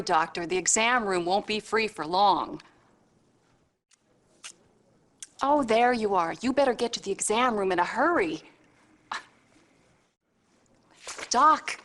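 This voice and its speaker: A woman's voice: mid-range voice, fairly bright tone, natural voice. An actress playing a sassy, no-nonsense admitting nurse in a video game, bossy and informal in the way she talks. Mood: urgent, brisk. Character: brassy, wisecracking, no-nonsense hospital admitting nurse. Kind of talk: scripted video game cutscene acting.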